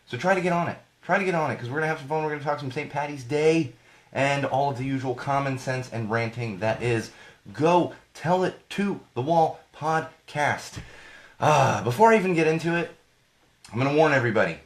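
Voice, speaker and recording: A man hosts a live podcast, his voice congested and a little hoarse from a cold. He rants casually, repeats his phrases and talks straight to the people watching. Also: medium pitch, fairly quick. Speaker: podcast host.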